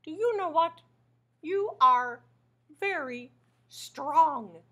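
A woman's voice: high voice, lively intonation, drawn-out words, pushed and bright, voice pushed up, exaggerated voice. A woman voicing a fearful little male monster in a storybook read-aloud, pleading, panicky and wailing. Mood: impressed.

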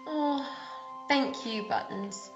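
A woman's voice: high voice, slow, lo-fi sound, music in the background. A young woman playing a frank, sensible pantomime heroine, on a video call from home. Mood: sincere. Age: young adult. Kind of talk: comic pantomime acting. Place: room at home. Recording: roomy video-call sound.

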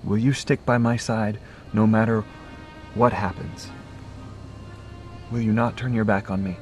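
A man's voice: fairly low pitch, fairly even intonation, unhurried, faint music behind, natural voice. A man playing an earnest, intense young man in an audio drama, solemn and persuasive as he rallies his companions. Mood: solemn, urgent.

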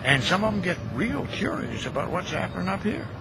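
Birdsong and outdoor noise surround a kindly, folksy American caretaker in his fifties. His voice sits a little high and moves around freely. He talks in a plain, neighborly way, as in a radio play. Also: big pitch swings, brisk, vocal fry, heavily acted. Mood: wry, friendly. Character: folksy, kindly middle-aged graveyard caretaker who chats with the dead. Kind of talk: audio drama acting. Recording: noisy outdoor ambience with birdsong.

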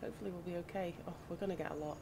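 A woman with a slightly croaky voice from hay fever, chatting casually and thinking aloud as she plays. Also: on the low side, rapid-fire. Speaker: gaming channel host. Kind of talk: casual gameplay commentary. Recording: audible background noise.

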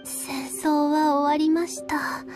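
A woman voicing a gentle, weary young priestess and strategist in a video game, speaking softly with polite, formal phrasing. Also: high voice, little pitch movement, slow pace. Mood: drowsy murmur. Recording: background music under voice.

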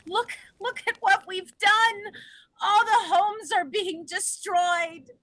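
A woman's voice: very high-pitched, steady tone, slow pace, a bit bright, exaggerated voice, rough recording. A young woman playing an emotional fable woman in a dramatic play reading. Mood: anguished. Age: young adult. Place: home room, video call.

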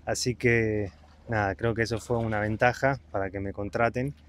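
A man's voice: on the low side, fairly even intonation, normal speed. A young man with a Rioplatense accent, talking casually and matter-of-factly to camera outdoors, listing his work duties. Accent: Rioplatense Spanish. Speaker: travel vlogger. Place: outdoors on rocks by the sea, then a harbor.

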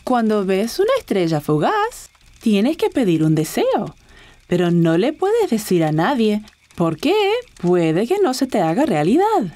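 A woman voicing a warm, encouraging young mother in a children's cartoon dub, speaking gently. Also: mid-range voice, huge pitch swings, unhurried, no room sound. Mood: gentle.

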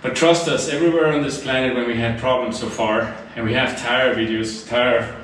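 A man's voice: mid-range voice, steady tone, normal speed. German man, 30s, easygoing vlogger; distant, roomy, noisy sound. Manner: chatty, with many fillers and restarts. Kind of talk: casual gear walkthrough. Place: large tiled room indoors, then inside a truck garage. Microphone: camera mic from a few meters off. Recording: echoey room, distant camera mic, noisy.

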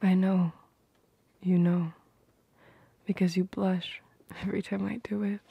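A woman plays a protective, playful girlfriend in a romantic ASMR roleplay. Her voice is very breathy and whispery, with a creaky edge. She murmurs slowly and tenderly, right up close in a dry space. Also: lowish voice, level tone, no room sound, lo-fi sound, exaggerated voice. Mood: tender, reassuring. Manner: slow, intimate, tender murmuring. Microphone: close microphone.

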